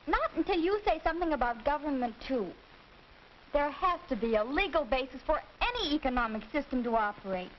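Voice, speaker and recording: A young woman playing an assertive, opinionated high-school girl debating insistently in a 1940s educational film. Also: lively intonation, brisk. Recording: muffled, noisy 1940s film soundtrack.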